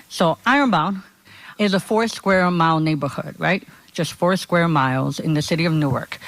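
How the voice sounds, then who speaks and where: A woman's voice: low voice, no echo, low-quality recording. A woman from Honduras giving a conversational talk at a conference as a community organizer, often checking in with her listeners.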